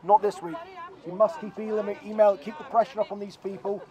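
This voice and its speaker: A man's voice: high-pitched, level tone, a little fast. A middle-aged man organising a protest, urging people through a megaphone with a practical, pushy manner. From Scotland. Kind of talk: street protest speech. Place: outdoors by a hotel car park. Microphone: handheld megaphone. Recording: outdoor megaphone with crowd noise.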